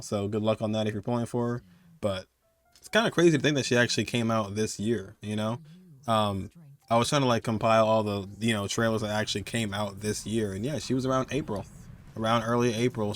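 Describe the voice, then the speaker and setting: A man hosting a gaming countdown video with casual, excited commentary in an informal, rambling and emphatic way. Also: on the low side.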